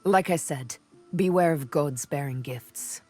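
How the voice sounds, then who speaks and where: A woman's voice: deep voice, a little slow, darkish tone. A woman voicing a sardonic, vengeful elf huntress for a video game, with arch, teasing, theatrical phrasing. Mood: sardonic, grave. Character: sardonic, vengeful female elf, former slave turned huntress. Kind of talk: video game character dialogue. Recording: background music under the voice.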